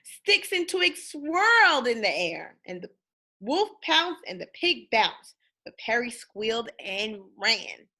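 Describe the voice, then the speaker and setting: A woman's voice: fairly high pitch, big pitch swings, bright, forceful tone. A woman reading a storybook aloud for children in a dramatic manner, speaking directly to her listeners. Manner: dramatic, pausing to ask listeners questions. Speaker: storybook reader. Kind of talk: animated children's read-aloud.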